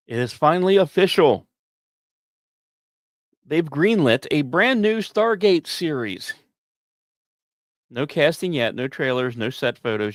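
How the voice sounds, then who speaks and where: Guy doing a space podcast, really lively up-and-down delivery, wry jokey asides, dry close sound. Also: on the high side, no echo. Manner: wry, self-deprecating humor. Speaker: podcast host. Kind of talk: scripted science news narration with casual joking asides.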